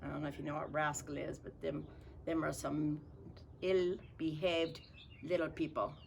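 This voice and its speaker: A woman's voice: sits low, little pitch movement, normal speed, creaky, slight background noise. A woman reading a picture book aloud to children playfully, with folksy, chatty asides. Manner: folksy, informal grammar. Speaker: storybook reader for children.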